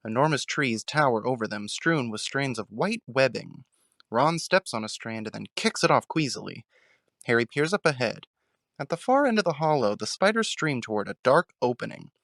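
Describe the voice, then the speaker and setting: Dry, mid-pitched male narrator. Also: fairly bright tone, dry recording. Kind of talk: scripted narration of a fan audio drama. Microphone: close mic, dry recording.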